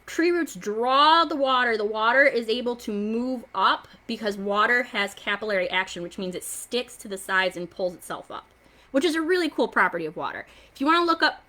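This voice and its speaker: Bright, high-voiced woman hosting children's science time. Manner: excitable, exclaiming, encouraging; simple questions to young viewers. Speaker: children's story time host. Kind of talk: hands-on science demo for young children.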